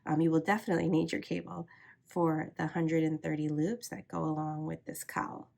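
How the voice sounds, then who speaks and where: A low-pitched woman with a gravelly, husky voice, stuffed up from a cold. She explains each step at a calm, steady pace and sometimes drops to a soft near-whisper. Her tone is patient and instructional. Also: moderate pace. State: congested from a cold. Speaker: crochet teacher. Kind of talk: step-by-step craft tutorial.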